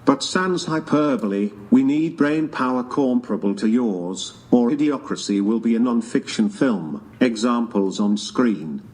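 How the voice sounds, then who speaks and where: A man's voice: medium pitch, animated, unhurried, few pauses, a little mellow. Man dryly reading donation messages. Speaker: podcast cohost reading donations.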